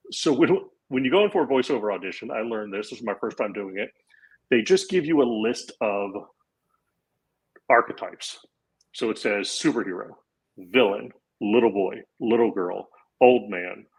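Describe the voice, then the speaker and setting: A man in his forties, a comedian guest on a podcast, telling a long anecdote in a casual, animated way and acting out the lines of the people in it. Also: on the low side, husky, rough recording. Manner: animated anecdote-teller, acts out quoted lines. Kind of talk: casual storytelling.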